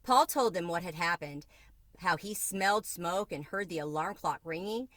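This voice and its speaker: A woman reading a children's book aloud in an expressive narrating style. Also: on the low side, moderate pace, no echo. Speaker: storybook reader.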